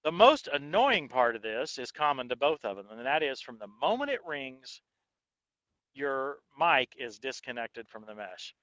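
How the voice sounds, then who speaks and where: Male reviewer, bright forceful tone, casual and explanatory, dry close headset mic. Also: medium pitch, big pitch swings, moderate pace, no room sound, lo-fi sound. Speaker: host and product reviewer. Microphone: intercom headset microphone.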